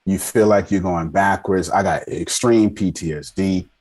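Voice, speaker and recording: Low-voiced man of forty telling stories. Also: moderate pace, a little mellow. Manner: self-deprecating, emphatic, repeats phrases for effect. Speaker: podcast guest, army veteran. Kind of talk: casual storytelling interview. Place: indoors on a video call.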